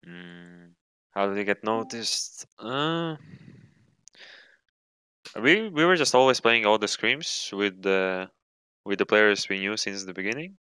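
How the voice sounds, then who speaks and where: Young adult male gamer from Latvia; low pitch, crisp delivery, rambling casual answers. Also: lively intonation, takes it slowly, long pauses, bright, forceful tone, no room sound, rough recording. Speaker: professional esports player. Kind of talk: casual interview chat.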